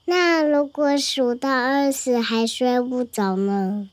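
A woman's voice: high voice, steady tone, no echo. A woman voicing a curious little boy who cannot sleep, in a children's radio drama. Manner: slow, earnest childlike delivery.

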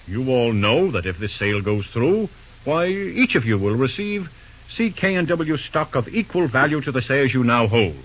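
An actor playing a pompous, scheming railroad shareholder who blusters indignantly in an old-time radio drama. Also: male voice, mid-range voice, very animated, moderate pace, a little hiss or hum, close to an everyday voice. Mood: pompous, insistent. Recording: muffled, fuzzy old radio recording.

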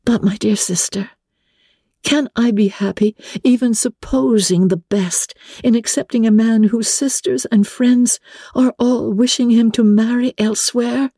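A woman voicing a gentle, hopeful young sister from a classic novel, earnest and soft-hearted, in formal period speech. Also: fairly high pitch, steady tone, unhurried, dry recording, clean studio sound, voice pushed up. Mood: anxious. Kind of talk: dramatic audiobook reading.

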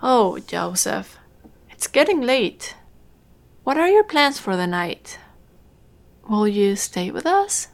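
An actress playing a gentle, hospitable older farm wife in an audio drama. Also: female voice, medium pitch, big pitch swings, takes it slowly, vocal fry, long pauses, exaggerated voice. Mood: gentle, concerned. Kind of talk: naturalistic audio drama dialogue.

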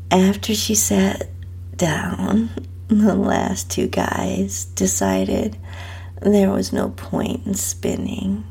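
A woman with a breathy, whispery, husky voice narrates a first-person story in an intimate, sultry, hushed manner. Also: fairly low pitch. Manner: slow, hushed, sultry delivery. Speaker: host and narrator of own story podcast.

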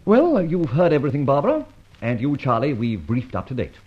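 An actor playing a debonair, self-assured gentleman rogue in a breezy, urbane manner, as in an old radio adventure serial. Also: mid-range voice, wildly swooping pitch, fairly quick, loud music behind the voice. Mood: brisk, assured. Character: suave, debonair gentleman adventurer, secretly a roguish thief. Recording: dull, lo-fi old radio recording.

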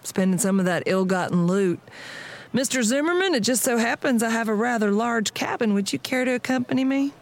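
A woman's voice: a little high, lively intonation, fairly quick. An actress playing a confident, sly, folksy American businesswoman in an audio drama. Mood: smug, flirtatious. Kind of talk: radio drama acting.

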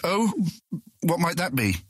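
An actor playing a genial, naive-seeming guildmaster in a fantasy audio drama, with breezy, eager, lordly phrasing. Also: male voice, on the high side, big pitch swings, measured pace, a bit bright, no echo, studio quality, heavily acted. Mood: pleased, breezy.

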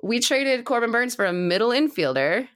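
A woman's voice: a little high, sing-song, normal speed, a bit bright. A woman chatting casually and enthusiastically as a co-host of a baseball fan podcast. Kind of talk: casual chat.

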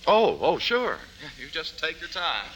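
A man's voice: fairly high pitch, sing-song, measured pace, fairly bright tone, poor audio. An actor playing a bashful, plain-spoken, easygoing male suitor in a 1950s radio drama, with a folksy, hesitant manner. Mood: bashful, friendly.

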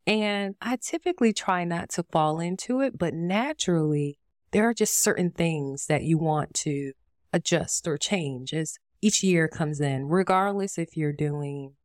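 Woman host, low and raspy, chatty solo podcast delivery in a dry, close sound. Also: unhurried, darkish tone, no room sound. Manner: chatty and conversational, with frequent fillers and asides. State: raspy from allergies. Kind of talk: casual solo podcast monologue.

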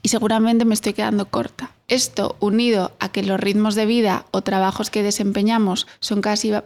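A woman's voice: medium pitch, little pitch movement, a little fast, darkish tone. A woman giving explanatory commentary as a nutrition expert.

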